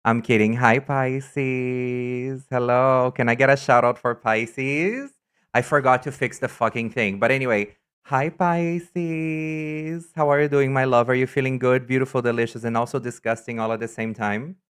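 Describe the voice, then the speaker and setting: A man's voice: mid-range voice, unhurried, clear, resonant tone. Campy, chatty male streamer from Brazil. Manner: chatty, campy and excitable, trails off into asides. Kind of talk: casual live-stream chat.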